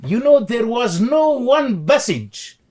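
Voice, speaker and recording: A man's voice: very high-pitched, big pitch swings, slow, a little mellow. A man with a Palestinian Arabic accent, whose first language is Arabic, speaking as an interview guest in an emphatic way and repeating his words. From Gaza. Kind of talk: conversational interview.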